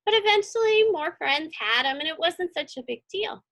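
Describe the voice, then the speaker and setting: A woman's voice: extremely high pitch, lively intonation, moderate pace, a bit bright. A woman reading a storybook aloud and chatting informally to children as their teacher, encouraging and often putting questions to her listeners. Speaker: teacher hosting a children's read-along.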